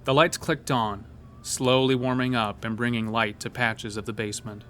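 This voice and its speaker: A man's voice: mid-range voice, fairly even intonation, moderate pace, noisy background. A man narrating a scripted horror story. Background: soft music bed.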